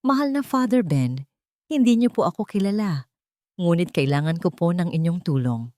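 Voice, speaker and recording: A woman's voice: lowish voice, animated, moderate pace, dark tone, dry recording, natural voice. A woman narrator voicing a frightened woman who pleads politely and earnestly in a letter, with a Filipino accent. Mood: pleading.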